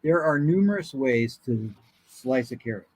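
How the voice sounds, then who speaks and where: A man's voice: medium pitch, expressive, moderate pace, husky, low-quality recording. A man chatting casually and telling anecdotes as a voice actor guest on a podcast.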